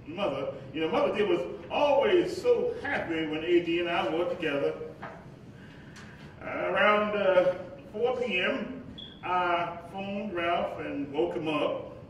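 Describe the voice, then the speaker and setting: A man in a staged reading playing a preacher's spirit who reminisces fondly, savoring his storytelling. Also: expressive. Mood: fond, amused. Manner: slow, savoring storyteller delivery. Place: hall with microphone on stand at lectern. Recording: echoey hall, distant, faint rumbling noise.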